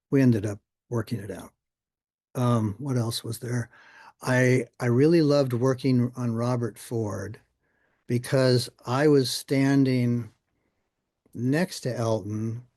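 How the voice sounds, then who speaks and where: An older man shares anecdotes in a relaxed interview setting. His voice is mid-pitched and mellow, recorded close and dry, and he talks in an easy, conversational storytelling way. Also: no room sound. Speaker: musician being interviewed.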